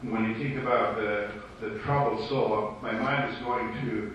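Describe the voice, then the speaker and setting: Romanian-accented man, slow and searching for words, distant echoey room. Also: on the low side, fairly even intonation, fairly bright tone, slight background noise. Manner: hesitant, searching for English words. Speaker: participant. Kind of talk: group Bible study discussion. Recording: echoey room, far from the mic.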